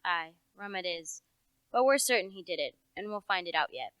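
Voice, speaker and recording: A woman's voice: lowish voice, lively intonation, moderate pace, a bit bright, smooth, no echo. A young woman playing a folksy, opinionated country police officer in a radio play, with a rustic, knowing, matter-of-fact manner. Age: young adult.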